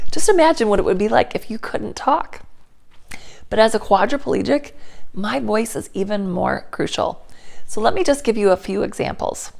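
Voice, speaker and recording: A woman, slightly hoarse as her voice returns after laryngitis, giving viewers a candid, earnest personal update. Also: medium pitch. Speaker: inspirational speaker and channel host.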